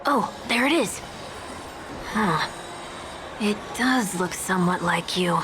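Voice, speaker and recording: An actress playing a blunt, teasing young travelling companion in a video game, with a casual, sarcastic way of talking. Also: female voice, mid-range voice, expressive, takes it slowly, background noise. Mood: curious, teasing. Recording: game music behind the voice.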